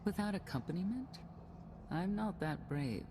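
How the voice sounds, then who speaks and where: An actress playing a self-assured, candid woman knight in a game, composed and measured. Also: on the low side, lively intonation, background noise, lightly acted. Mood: wry, relaxed. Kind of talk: video game cutscene acting.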